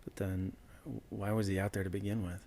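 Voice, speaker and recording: A man's voice: low-pitched, flat delivery, a little fast, dark tone. An actor playing a puzzled ordinary man, plain-spoken and matter-of-fact in a documentary-style interview.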